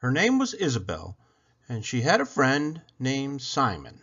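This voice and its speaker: A man narrating a children's picture book as a storyteller, with an expressive, deliberate delivery. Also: medium pitch, breathy edge. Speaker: read-aloud storyteller. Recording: dull lo-fi recording.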